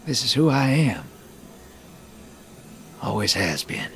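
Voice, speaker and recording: An actor playing a weary, bitter and resigned loner in a video game, confessing haltingly and sometimes dropping into hushed asides. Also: male voice, mid-range voice, sing-song, soft background music. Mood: resigned, regretful. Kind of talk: dramatic video game acting.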